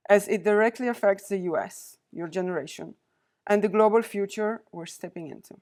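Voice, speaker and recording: A woman in her forties from Poland, speaking, giving a prepared university lecture as a visiting diplomat, with a measured, deliberate delivery and pauses between listed points. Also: medium pitch, darkish tone. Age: 40s.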